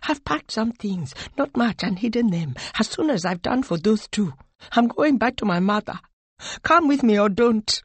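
Distraught vengeful woman, audiobook character, high voice, urgent, furious, wailing. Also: lively intonation, moderate pace, slightly rough, no echo. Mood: hissed resolve. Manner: urgent, wailing, snarling, swinging from whispers to cries.

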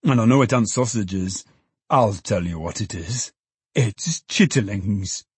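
A man's voice: on the low side, a little slow, husky, dry recording. A man voicing a poor, good-humoured old father in a storybook, musing and exclaiming in a folksy way. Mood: musing, savouring.

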